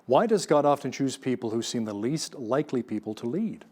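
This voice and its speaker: A man with a Canadian accent interviewing a guest, asking clear, measured questions. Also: mid-range voice, big pitch swings, darkish tone. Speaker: news interviewer.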